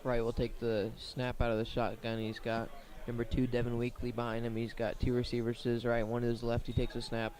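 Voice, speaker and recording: A man doing live radio play-by-play of a high school football game in short, plain, measured phrases. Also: monotone, darkish tone. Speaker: play-by-play sports commentator.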